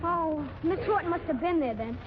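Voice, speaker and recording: Naive schoolchild, piercingly high voice, earnest and innocent, old radio comedy, muffled vintage sound. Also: lively intonation, normal speed, a loud crowd, heavy background noise. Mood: curious, innocent. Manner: innocent, literal-minded. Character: earnest, naive young schoolchild petitioning the government. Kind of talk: 1940s radio comedy acting. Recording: muffled 1940s radio broadcast.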